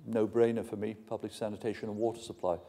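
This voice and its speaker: A man's voice: on the low side, level tone, fast talker, gravelly, mellow. A man in his sixties from Wales, giving a frank, plain-spoken public lecture and answering questions in a large hall. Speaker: university lecturer. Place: large ornate hall, indoors.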